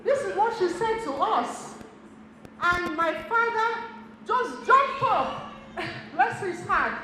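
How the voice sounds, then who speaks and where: A woman's voice: very high-pitched, animated, slow, sharp tone. A middle-aged Igbo woman, telling stories and speaking directly to a seminar audience, laughing often. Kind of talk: motivational talk to an audience. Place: community center hall. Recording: very echoey hall with noise.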